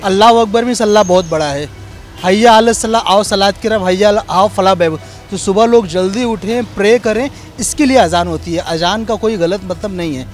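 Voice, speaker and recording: A man's voice: high voice, normal speed. A man with an Indian accent arguing his opinion emphatically and repetitively in a street interview. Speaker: member of the public giving opinion. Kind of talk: argumentative vox pop opinion.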